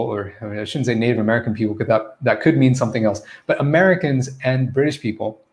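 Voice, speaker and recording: A man's voice: mid-range voice, a little mellow. A man who coaches English pronunciation, giving a casual live-streamed Q&A lesson in a chatty, loose way and often correcting himself. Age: adult, former teacher.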